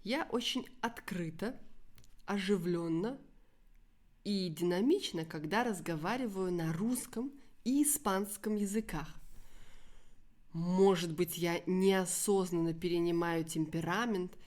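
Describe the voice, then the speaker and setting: A woman language teacher with a native Russian accent and a lowish voice. She speaks very slowly in an educational monologue, articulating each phrase carefully for learners. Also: a little mellow. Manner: careful articulation, explains words in simple synonyms.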